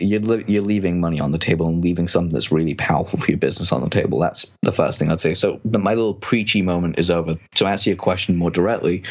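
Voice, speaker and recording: A man's voice: low voice, dull audio. A man with a transatlantic accent and hard R's, chatting casually as a guest about copywriting and voice work. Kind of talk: casual podcast chat.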